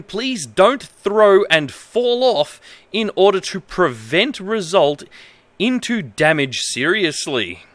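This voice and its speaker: An Australian man with a high, forceful voice streams from home. His pitch swings around in an exaggerated read-aloud delivery, broken up by incredulous commentary. The sound is close and dry. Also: dry recording. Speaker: livestreamer. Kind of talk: reading aloud with amused livestream commentary. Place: indoor home streaming setup.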